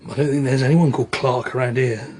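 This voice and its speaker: A man playing a considerate, plain-spoken local policeman in a naturalistic radio drama, speaking informally and haltingly. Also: mid-range voice, steady tone, darkish tone, lightly acted. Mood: matter-of-fact. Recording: radio drama with clear background ambience.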